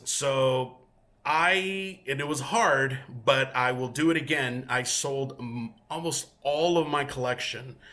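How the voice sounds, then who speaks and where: Bright-voiced man. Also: medium pitch. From Mexico. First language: Spanish. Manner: easygoing and chatty, with many filler pauses. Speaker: featured guest collector. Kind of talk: casual self-introduction and collection tour. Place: his home collection room.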